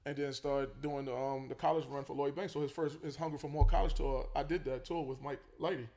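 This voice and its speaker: A man, a basketball coach telling his story as a guest in a casual podcast chat, relaxed and full of fillers and restarts. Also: mid-range voice, a little fast. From Queens, New York.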